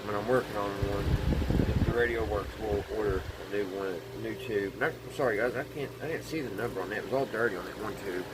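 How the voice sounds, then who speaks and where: A man's voice: on the low side, quick pace. A man casually narrating hands-on repair work to his viewers, thinking aloud in a folksy way. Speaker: host of a radio repair channel. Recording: loud steady background hum and room noise.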